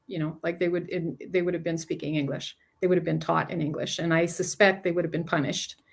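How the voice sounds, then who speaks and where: A woman's voice: fairly low pitch, steady tone, a little fast, vocal fry. A middle-aged woman from Canada, a guest author chatting casually in an interview, often restarting sentences and laughing between thoughts.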